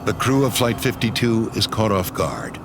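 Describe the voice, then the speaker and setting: A man's voice: lowish voice, big pitch swings, moderate pace, vocal fry, very noisy. A man reading scripted, dramatic documentary narration as the narrator. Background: dramatic music bed.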